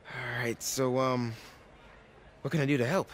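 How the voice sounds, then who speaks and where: A man's voice: mid-range voice, fairly even intonation, measured pace, a little hiss or hum, lightly acted. Earnest, hesitant American teenage boy, played by a man. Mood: polite, reserved. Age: young adult. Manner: hesitant, polite. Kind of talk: video game cutscene acting.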